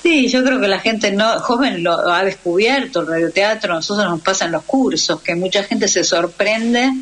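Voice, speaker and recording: A woman's voice: medium pitch, moderate pace, a bit bright, a little hiss or hum, low-quality recording. A woman with an Argentine accent talking in a radio interview as a guest who teaches radio drama. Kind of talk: interview conversation.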